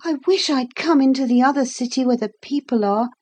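A woman's voice: high voice, a little fast, darkish tone, husky, pitched above the performer's own voice. A woman voicing a young boy in a storybook, earnest, curious and polite, with an eager, questioning way of talking. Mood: lonely, wondering. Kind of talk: storybook character voice in a read-aloud.

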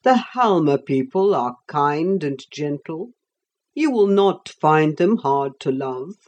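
A woman voicing a grave, calm Roman emperor who passes judgment in a slow, measured way. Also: sits low, voice pushed down, heavily acted. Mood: solemn.

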